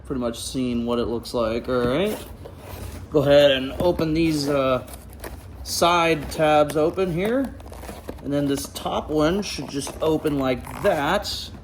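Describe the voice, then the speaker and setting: A man's voice: fairly high pitch, takes it slowly, a little hiss or hum. An American man giving casual hands-on unboxing commentary in an informal, enthusiastic way. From Ohio, United States. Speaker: vlogger. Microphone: handheld camera.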